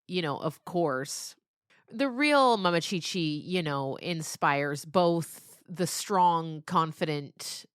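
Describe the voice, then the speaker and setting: Bright-voiced woman storyteller, fairly low pitch. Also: dry recording, studio quality. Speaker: novelist being interviewed. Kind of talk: casual interview storytelling.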